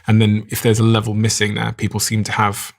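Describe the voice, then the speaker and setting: Fast-talking male podcast host. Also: lowish voice, completely flat delivery, creaky. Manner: quick, curious questioning. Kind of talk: interview questions.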